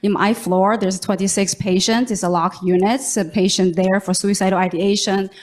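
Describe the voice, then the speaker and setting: Halting woman pitching a health idea. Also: fairly even intonation. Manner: halting, searching for words. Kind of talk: short pitch at an event.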